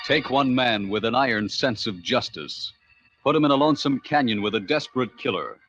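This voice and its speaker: A male announcer reads script in 1940s radio style with animated, swooping pitch. The old recording is dull, with faint music underneath. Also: fairly quick.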